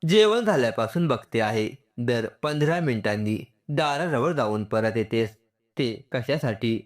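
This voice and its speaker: A man voicing a caring, gently scolding mother-in-law in a narrated family story. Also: mid-range voice, lively intonation, unhurried, close to an everyday voice. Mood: probing concern.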